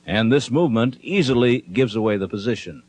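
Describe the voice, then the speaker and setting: A man reading scripted narration for an instructional documentary film in a measured, instructive manner. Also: medium pitch, animated, darkish tone.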